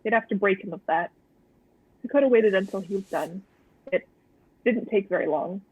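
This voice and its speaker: A woman reading a story aloud and chatting casually as a co-host, with a dry, deadpan manner. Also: mid-range voice, normal speed, slightly rough, dry recording, highs cut off. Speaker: co-host of a fan fiction read-aloud stream.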